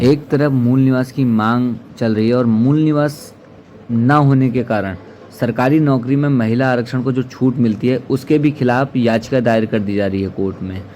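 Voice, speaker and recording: A man's voice: mid-range voice, warm tone, highs cut off, a little hiss or hum. A man presents a political explainer as an activist, speaking earnestly in formal diction. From Uttarakhand, India. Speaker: activist commentator. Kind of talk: political explainer to camera or voice-over.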